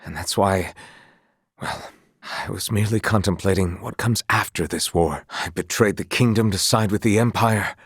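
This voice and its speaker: Young noble knight, low husky voice, earnest, guilt-ridden, courtly archaic diction, fantasy game drama. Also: male voice, a bit bright, no echo, clean studio sound, exaggerated voice. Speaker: voice actor in a video game.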